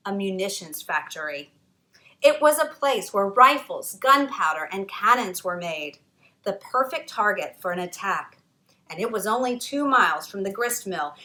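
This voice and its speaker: Lady author doing a storytime read-aloud, lots of dramatic pauses, bright crisp voice. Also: on the high side, rough recording. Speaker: author reading her own book.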